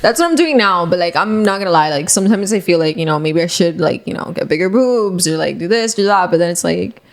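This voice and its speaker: Chatty young woman with a low, gravelly voice. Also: expressive, quick pace. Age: 20s. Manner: chatty, heavy filler "like", frequent laughs. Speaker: podcast guest. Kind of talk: casual chat. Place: podcast studio. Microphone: studio dynamic mic.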